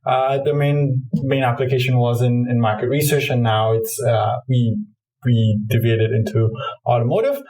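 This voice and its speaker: A man's voice: mid-range voice, fairly even intonation, normal speed, warm tone. Man in a roomy, distant recording. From Cairo, Egypt. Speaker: product manager, podcast guest. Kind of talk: interview answers, explanatory. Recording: roomy echo, distant from mic.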